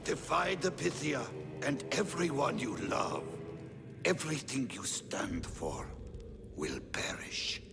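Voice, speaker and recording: An actor playing a cold, taunting cult villain in a dramatic video game scene, speaking theatrically over music. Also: male voice, mid-range voice, very animated, gravelly, full character voice. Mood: threatening. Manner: slow, taunting, theatrical delivery. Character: menacing male cultist deriding heroes, cold and taunting. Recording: music behind the voice.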